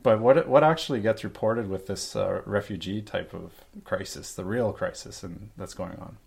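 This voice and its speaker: A man's voice: on the low side, moderate pace, vocal fry, a little mellow, breathy edge. A man from Canada hosting a casual interview, asking rambling, curious questions. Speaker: podcast host.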